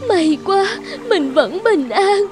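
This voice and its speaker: A devoted young wife in a cartoon fairy tale. She is sorrowful, speaking high and slowly over music. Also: expressive, exaggerated voice. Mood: anxious, relieved. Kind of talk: animated fairy-tale dialogue.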